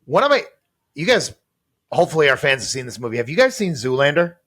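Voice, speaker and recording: A man with a slightly husky, fairly high voice that swings up and down a lot. He talks in an animated, joking way, like someone chatting on a comedy podcast with friends. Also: fast talker. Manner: animated, jokey storytelling. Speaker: podcast co-host. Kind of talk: casual comedy podcast chat.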